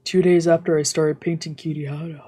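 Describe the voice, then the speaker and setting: Low, husky woman reading softly. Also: level tone, fairly quick. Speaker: streamer reading a visual novel aloud. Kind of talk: let's play narration, translating dialogue aloud. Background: game music.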